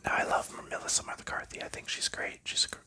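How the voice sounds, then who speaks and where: A man talks in a steady whisper throughout, with a strikingly bright, crisp edge to the air in his voice. He rambles casually about whatever comes to mind, stopping for ums and small restarts as he handles game pieces. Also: brisk. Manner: soft rambling chatter with frequent ums. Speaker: ASMR channel host. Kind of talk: whispered ASMR board game unboxing.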